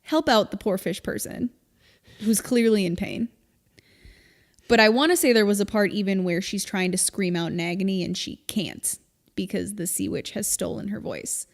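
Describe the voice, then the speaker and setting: A woman co-hosting a podcast, explaining things in casual, informal chat with an animated storytelling manner. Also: medium pitch, moderate pace, gravelly. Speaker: podcast co-host. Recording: long reverb, hall-like room.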